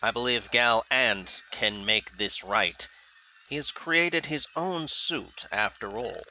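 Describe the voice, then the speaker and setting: A very sharp, forceful alien general from an audio drama, played by a man. He is a dutiful villain's henchman with formal speech, and there is clear noise behind the voice. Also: mid-range voice, slow pace, full character voice, poor audio. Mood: obedient, formal. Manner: formal, deferential to master, crisp orders to underlings.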